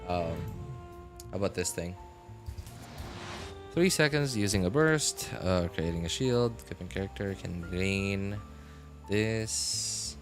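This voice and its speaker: A man hosting a game livestream, rambling casually and excitably, exclaiming and musing aloud. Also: sits low, takes it slowly, long pauses. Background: game music.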